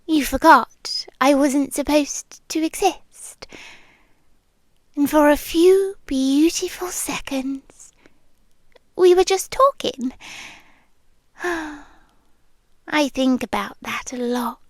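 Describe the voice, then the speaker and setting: A woman's voice: high-pitched, dry recording. A British woman playing a lonely, obsessive, affectionate stalker girl in an intimate ASMR roleplay, speaking tenderly and breathily, slipping into whispers. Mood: tender, yearning. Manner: slow, breathy, tender, with pauses and sighs. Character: lonely obsessive bodiless young female stalker, possessively affectionate. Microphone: close microphone.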